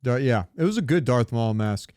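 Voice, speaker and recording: Clear-voiced man in dry, close casual chat. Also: medium pitch, animated, moderate pace, darkish tone, no echo. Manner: casual, profane, joking slangy talk. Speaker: podcast co-host. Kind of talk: casual chat, comic storytelling.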